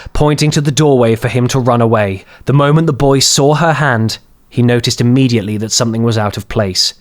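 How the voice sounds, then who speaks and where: A man reading scripted fantasy horror as an audiobook narrator, in a dramatic storytelling style. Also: medium pitch.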